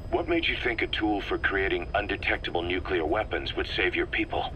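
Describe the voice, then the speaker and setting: Man acting a low-voiced, blunt military officer, noisy. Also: brisk, lo-fi sound, natural voice. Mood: probing. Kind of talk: video game dramatic dialogue.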